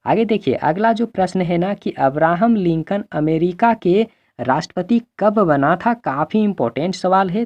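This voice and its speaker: A male teacher from the belt, giving an exam revision lesson, repeating himself and answering his own questions. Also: sits high. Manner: repetitive, asks then answers, frequent check-in tags. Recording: dull lo-fi microphone sound.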